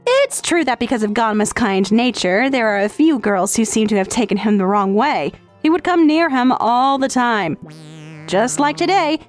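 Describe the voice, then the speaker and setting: A woman's voice: a little high, close to an everyday voice. A woman playing a possessive, self-assured teenage schoolgirl in a dramatic anime dub style. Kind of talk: anime-style dub acting with narrated monologue.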